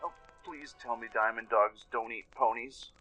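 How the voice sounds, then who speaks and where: A man's voice: medium pitch, steady tone, measured pace, pushed and bright, faint music behind. A man voicing an anxious young filly pony who talks herself through her fears in a radio play. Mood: pleading. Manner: stammers when scared, talks to herself.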